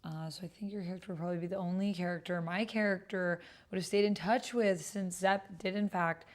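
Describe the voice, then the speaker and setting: A woman's voice: lowish voice, fairly even intonation, brisk. A woman streamer chatting casually and thinking aloud as she hosts an online roleplaying game, sometimes muttering to herself. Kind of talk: casual livestream chat while playing.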